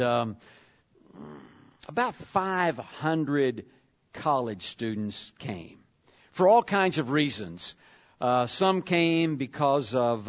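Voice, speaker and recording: A man from far west Texas, a visiting convention leader giving a folksy, conversational church address with frequent pauses. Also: mid-range voice, highs cut off.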